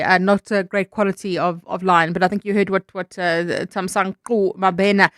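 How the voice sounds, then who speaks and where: A woman's voice: medium pitch, no echo. A South African woman presents radio news and interviews in a mid-range voice. The sound is close and dry, from a studio microphone. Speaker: news show host.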